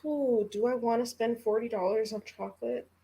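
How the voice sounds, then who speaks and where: A woman's voice: on the high side, steady tone, normal speed, vocal fry, warm tone. Sweary, sassy woman on a casual livestream. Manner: sweary, blunt, playfully sassy. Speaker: reading livestream co-host.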